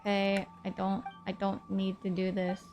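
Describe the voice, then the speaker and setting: A woman's voice: medium pitch, monotone, normal speed, a little mellow, faint music behind. A woman streaming a video game, chatting casually, exclaiming and laughing often as she plays. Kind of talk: casual gameplay commentary.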